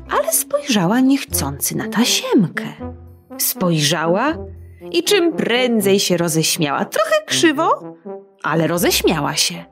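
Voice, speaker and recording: A woman narrating a children's audiobook in a playful, expressive way. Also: mid-range voice. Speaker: storyteller.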